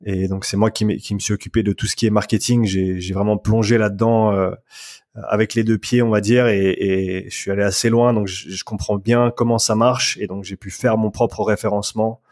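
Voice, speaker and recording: Male guest, low-ish voice, casual and hesitant, restarts often. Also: fairly even intonation, a little fast, darkish tone. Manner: hesitant, many restarts and fillers; bilingual. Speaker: guest, strength coach. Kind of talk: casual podcast interview.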